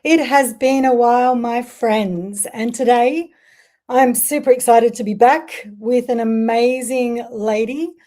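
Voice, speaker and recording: Woman podcast host, upbeat and chatty, higher pitch with a mellow tone. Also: slow pace. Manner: upbeat, chatty, stumbles over acronyms. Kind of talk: interview podcast.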